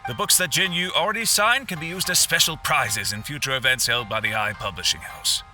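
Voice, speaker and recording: An actor playing a formal, considerate publishing manager in an anime-style game, speaking in a courteous, businesslike way. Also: male voice, medium pitch, fairly quick, sharp tone, soft background music.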